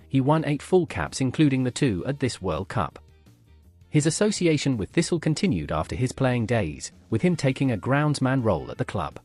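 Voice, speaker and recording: A man narrating a scripted football history piece in a measured way, letting each sentence fall at its end. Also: mid-range voice, a little mellow, husky.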